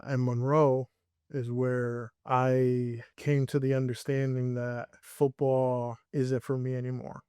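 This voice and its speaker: A man's voice: medium pitch, darkish tone, clean tone, no echo, studio quality. A young man from Puerto Rico, raised in Connecticut, talking earnestly as a guest filmmaker in a casual interview, often restarting his sentences. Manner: earnest, reflective, with frequent restarts.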